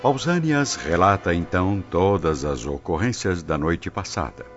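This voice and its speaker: A man's voice: low voice, expressive, a little slow. A man narrating a radio drama from a script in a formal, theatrical style. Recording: dull lo-fi radio drama recording. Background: music.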